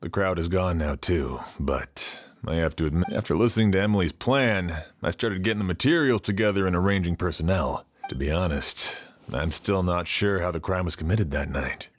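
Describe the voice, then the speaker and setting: A deep, blunt official. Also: male voice, moderate pace, few pauses. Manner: blunt, questioning. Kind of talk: game voice acting.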